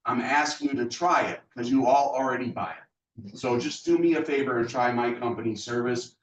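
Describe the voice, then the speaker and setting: A man giving a relaxed, reassuring pitch to guests at a business meeting. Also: normal speed, slight background noise. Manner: laid back, reassuring. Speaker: sales rep addressing guests. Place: meeting room with an audience. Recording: dull, echoey room, far from mic.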